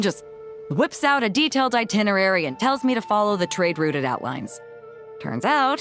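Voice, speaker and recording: An easygoing, proud woman captain, talking with swinging, expressive pitch. She sounds hearty and sure of herself in a conversational way, over light background music. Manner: breezy, confident, conversational with chuckles. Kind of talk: video game voice acting. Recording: music bed under the voice.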